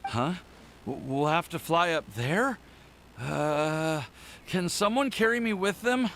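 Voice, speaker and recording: A man's voice: on the high side, animated, takes it slowly, fairly bright tone, a little hiss or hum, full character voice. An actor voicing a timid, easily amazed young man in an anime game, with a hesitant, exclamatory delivery. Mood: anxious.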